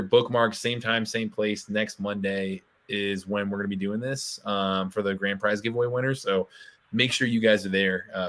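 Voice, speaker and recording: Slightly hoarse low-voiced man host. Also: sharp tone. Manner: promotional, filler-heavy. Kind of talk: live webinar hosting.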